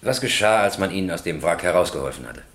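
An actor playing a stern, sceptical presiding judge in a radio play, formal and probing with dry irony. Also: male voice, low-pitched, lively intonation, quick pace, a bit bright.

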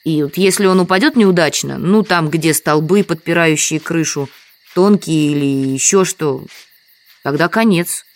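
A woman's voice: deep voice, measured pace. Simple village woman. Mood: matter-of-fact. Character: humble, trusting village woman living in sand pit. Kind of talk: audio drama dialogue.

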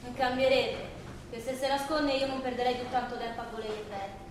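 A woman's voice: fairly high pitch, fairly even intonation, fairly quick, close to an everyday voice. A woman playing a bold, suspicious young woman in love, with a Roman accent, insistent and wary in a theatrical radio play. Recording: echoey stage, faint noise.

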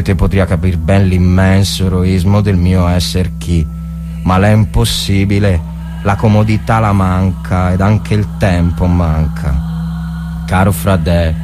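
A man playing a slow-talking rustic soldier with northern Italian dialect. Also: lowish voice, lightly acted. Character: rustic soldier dictating a letter to his brother. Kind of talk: dramatic reading of a war story.

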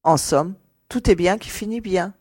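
A woman's voice: fairly low pitch, normal speed, darkish tone, breathy edge, dry recording, studio quality. A woman playing a poised, modest space agent in a science fiction audio drama, articulate and lightly wry. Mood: modest, composed.

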